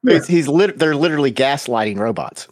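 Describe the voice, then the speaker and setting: A man's voice: mid-range voice, lively intonation, brisk. A man playing a tabletop game, chatting and bantering casually. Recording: roomy echo on the voice.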